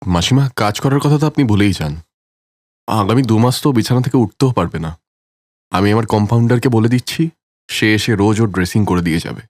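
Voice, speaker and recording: A man's voice: on the low side, fairly quick, darkish tone, no room sound, natural voice. A man playing a friendly, matter-of-fact village doctor in a cartoon story. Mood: grave.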